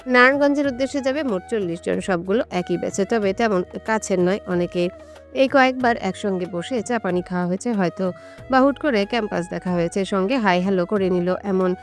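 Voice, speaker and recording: Woman narrator, mid-pitched voice, scripted romantic storytelling, soft music bed. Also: fairly quick.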